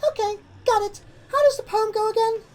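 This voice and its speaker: A woman voicing a prim, smug and excitable young unicorn filly in a story reading. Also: extremely high pitch, a little fast, voice pushed up. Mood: panicking. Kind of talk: character voice in fan fiction reading.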